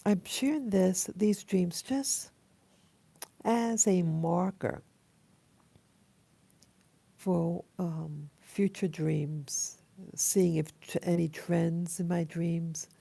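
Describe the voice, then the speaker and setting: An American woman in her late fifties records a casual, reflective spoken journal. Her voice is hoarse and mellow, fresh from sleep. She talks haltingly and very unhurriedly, in a dry, close sound. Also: lowish voice, no echo. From Queens, New York City, USA. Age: 50s, almost sixty. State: just woken up, hoarse. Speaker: vlogger. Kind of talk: casual personal dream journal.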